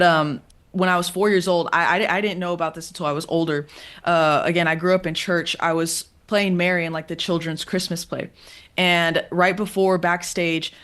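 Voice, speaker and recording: A woman with a raspy voice recounting her childhood in a conversation, hesitant, with many fillers and restarts. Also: lowish voice, fairly bright tone. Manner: hesitant, filler-heavy storytelling. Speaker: guest in a clip. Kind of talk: personal testimony in conversation.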